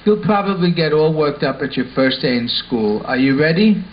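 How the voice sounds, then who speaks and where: A man's voice: fairly high pitch, animated, normal speed, lightly acted. A man playing an earnest, sensible schoolboy in a scripted puppet show, explaining things instructively. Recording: dull lo-fi sound with faint noise.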